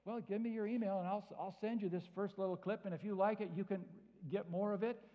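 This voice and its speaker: Male guest preacher, lively and conversational, high voice, dull sound in a reverberant hall. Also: fast. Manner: animated, acts out both sides of conversations. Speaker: guest speaker. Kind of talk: sermon with acted-out anecdotes. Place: church hall.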